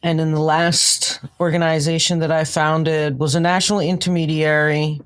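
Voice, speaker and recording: A woman's voice: low voice, little pitch movement, moderate pace, smooth. A woman with a New York accent, explaining her work as a guest in an interview. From New York, United States. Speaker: podcast guest, consultant and trainer.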